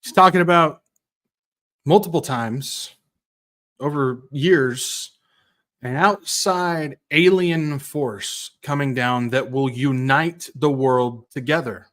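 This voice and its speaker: A man's voice: mid-range voice, takes it slowly, a bit bright. A man hosting a commentary show, musing aloud with rhetorical questions and repeating points for emphasis. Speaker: host. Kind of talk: conspiracy commentary over played clips.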